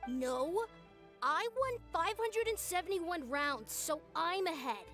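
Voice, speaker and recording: An actress playing a proud, stubborn warrior in a video game, with a haughty, precise and formal way of talking. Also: female voice, very high voice, expressive, slow, a bit bright, heavily acted. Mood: proud, argumentative. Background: game music.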